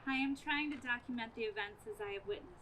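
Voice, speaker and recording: A woman's voice: on the high side, fast talker, natural voice. A woman playing an earnest, awkward scientist giving a hesitant eyewitness report in a radio play parody. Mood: forlorn, hesitant. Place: outdoor community theater stage in parking lot. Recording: outdoor stage recording, faint noise.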